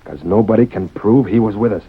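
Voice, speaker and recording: An actor playing a cunning, bossy Old West outlaw ringleader in an old-time radio western, with an American cowboy drawl. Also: male voice, on the low side, brisk, slightly rough. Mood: scheming, menacing. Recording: muffled old radio broadcast recording.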